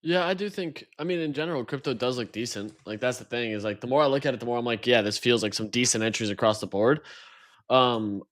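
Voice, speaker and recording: A man's voice: medium pitch, fast talker, fairly bright tone. A man co-hosting a casual podcast, easygoing but blunt in how he talks. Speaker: podcast co-host.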